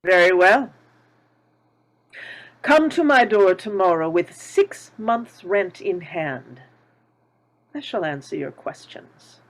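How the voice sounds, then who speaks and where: Woman voicing an elderly landlady, shrewd, unhurried, lively pitch. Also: rough recording. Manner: probing, haughty. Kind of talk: table read.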